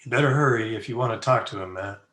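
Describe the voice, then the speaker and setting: An actor playing a brisk, urgent Old West town doctor in a radio western. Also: male voice, lowish voice, sharp tone. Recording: echoey room sound.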